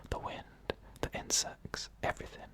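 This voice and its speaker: Hushed, bright whispering ASMR narrator. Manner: slow, hushed, deliberate, short pauses between phrases. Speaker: narrator reading first-person stories.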